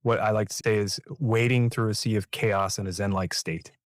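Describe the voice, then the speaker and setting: Man, fairly low voice, dry sound, podcast host, affirming, long setup questions. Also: steady tone, moderate pace, dry recording. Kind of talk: fireside chat interview.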